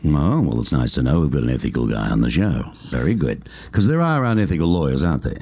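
A man's voice: very deep voice, big pitch swings, fast, mellow, breathy edge. A man hosting a radio interview show, folksy and joking with his guests. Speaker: talk show host.

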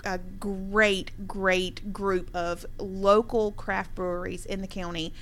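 A woman's voice: mid-range voice, little pitch movement, slow pace, a bit bright. A woman hosting a conversational interview with award winners, speaking in an encouraging, affirming way. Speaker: interview host.

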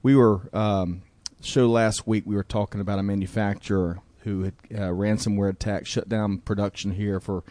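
A man's voice: lowish voice, fairly even intonation, normal speed, hardly any pauses, mellow, no room sound. A man hosting a business talk show, chatty and upbeat. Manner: upbeat, chatty, uses y'all. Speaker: radio show host. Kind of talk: casual podcast chat.

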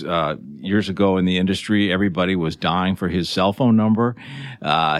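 Male business radio interviewer. Very low register, formal halting delivery, dry studio-like sound. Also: no echo. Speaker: radio show host and interviewer.

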